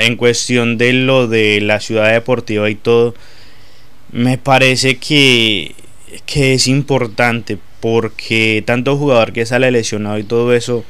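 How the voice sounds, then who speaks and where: Smooth-voiced man from Colombia. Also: on the low side, a bit bright. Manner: hesitant, restarts phrases, ends with tag questions. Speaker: sports pundit. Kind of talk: radio-style football discussion.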